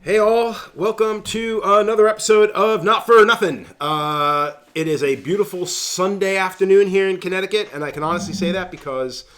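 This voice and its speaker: Male podcast host, mid-pitched, loose and profane, lots of "like" and "um". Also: moderate pace, hardly any pauses, fairly bright tone. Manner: loose, swears freely, filler-heavy questions. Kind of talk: casual podcast chat.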